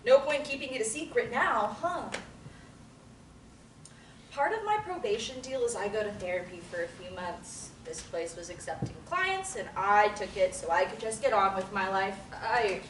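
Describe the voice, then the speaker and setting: A woman's voice: mid-range voice, moderate pace, close to an everyday voice. A woman at a table reading, playing an abrasive, defensive young woman in court-ordered therapy who is sarcastic and swears freely. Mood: guarded. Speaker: table-read actor. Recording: roomy, distant mic, faint noise.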